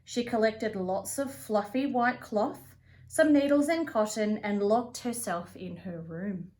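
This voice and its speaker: A woman reading a children's picture book aloud as a storyteller, with an expressive, deliberate delivery. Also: mid-range voice. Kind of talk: read-aloud for young children.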